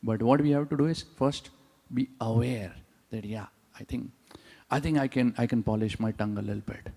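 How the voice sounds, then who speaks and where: A man's voice: mid-range voice, fairly quick, mellow. A man giving a devotional class at a temple, often checking in with his listeners with a questioning "huh?". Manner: engaging, asks the audience "huh?" often. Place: temple hall. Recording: long hall reverb.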